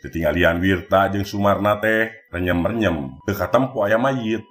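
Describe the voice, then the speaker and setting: Deep-voiced Sundanese man telling a tale. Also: normal speed, a bit bright. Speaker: storyteller. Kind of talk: scripted narration of a Sundanese mystery folktale. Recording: music bed behind the voice. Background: background music.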